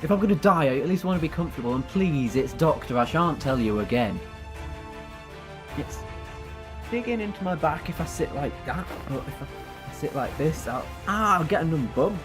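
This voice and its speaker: A man's voice: fairly high pitch, lively intonation, moderate pace, over music, close to an everyday voice. A man playing a quirky, compassionate, talkative time-travelling hero in an audio drama, earnest and wry. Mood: fussy, stalling.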